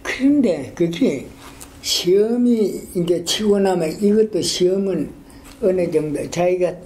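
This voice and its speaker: An older man with a strong Gyeongsang dialect, a retired school principal telling his story in a halting, rambling way in an oral history interview. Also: high voice, animated, darkish tone. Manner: halting, rambling, many fillers and restarts.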